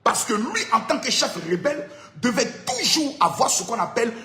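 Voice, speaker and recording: A man giving an animated political talk, sing-song and laughing as he speaks. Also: very high-pitched, normal speed, echoey room.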